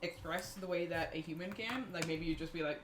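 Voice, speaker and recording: A woman's voice: lowish voice, brisk, slight background noise. A woman chatting casually as a player in a tabletop roleplaying game. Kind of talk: casual chat. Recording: echoey room.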